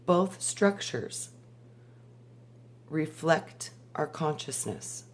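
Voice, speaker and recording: A woman, hoarse from a cold, giving a slow, measured spiritual talk with many pauses. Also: low voice, animated. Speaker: spiritual teacher.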